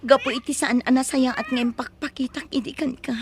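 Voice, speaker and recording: A high-voiced, anxious Ilocano woman in a radio melodrama, played by an actress, hesitant and emotional. There is faint noise behind her. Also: steady tone, brisk. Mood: grateful, embarrassed. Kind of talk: Ilocano audio drama acting.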